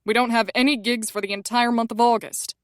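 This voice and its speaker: A woman's voice: a little high, fairly even intonation, brisk, no room sound, clean studio sound, lightly acted. A woman voicing a firm, protective male band member with a curt, clipped delivery.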